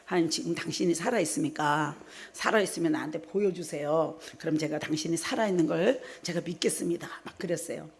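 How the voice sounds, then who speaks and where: A middle-aged woman from South Korea, a preacher giving a personal testimony at a revival meeting in an animated storytelling manner. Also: deep voice, vocal fry. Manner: animated storytelling, re-enacts her own prayers in direct speech.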